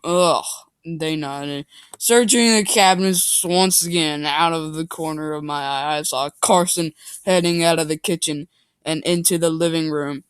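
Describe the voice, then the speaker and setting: A man's voice: a little high, steady tone, moderate pace, fairly bright tone, clear, resonant tone, no room sound. A man giving a mock dramatic reading of amateur fiction, goofy and theatrical, often breaking off to comment. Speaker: reader and commentator.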